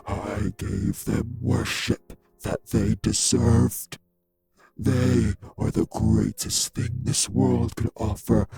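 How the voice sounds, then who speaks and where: A man playing a refined, bitter, possessive vampire sire in an audio drama, with formal, deliberate, menacing diction. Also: fairly low pitch, vocal fry, dark tone, full character voice. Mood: wounded, impassioned. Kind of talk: dramatic audio roleplay acting.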